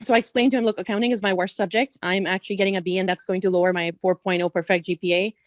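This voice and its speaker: A woman's voice: medium pitch, steady tone, rapid-fire, no room sound. A woman in finance and accounting talking on a video call from her office, upbeat and chatty with many fillers. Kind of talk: panel talk on a video call.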